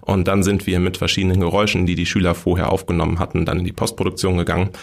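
A man's voice: low voice, little pitch movement, racing, vocal fry, a little mellow. A man, explaining things informally and step by step as an interview guest. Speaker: radio play workshop leader, interview guest.

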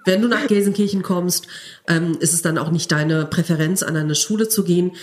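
Guest slot: young woman from Cologne, low voice, easy anecdotal delivery. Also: brisk, a little mellow. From Cologne, Germany. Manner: storytelling, laughs while telling. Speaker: podcast guest, teacher. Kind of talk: casual podcast chat.

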